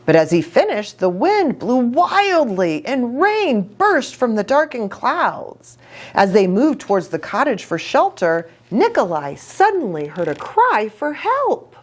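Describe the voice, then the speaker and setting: A woman reads a picture book aloud for young children in a highly expressive way. Her intonation swings very widely, and she takes her time over each phrase, speaking as if directly to small listeners. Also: unhurried. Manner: animated, with short asides to young listeners. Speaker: children's storybook reader, pastor.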